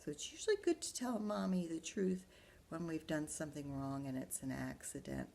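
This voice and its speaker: A woman's voice: sits low, normal speed, vocal fry, dark tone. A woman librarian talking young children through a storybook, explaining and asking them questions as she goes. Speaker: school librarian reading to children. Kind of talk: animated children's storybook read-aloud with asides.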